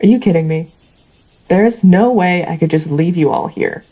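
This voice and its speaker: Casting: cynical cowgirl for a post-apocalyptic western drama, lowish mellow voice, blunt and dry delivery. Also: moderate pace, slightly rough, low-quality recording. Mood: pleading, frustrated. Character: blunt, cynical female ranch hand yearning for a better life. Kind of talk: stage-style audio drama acting.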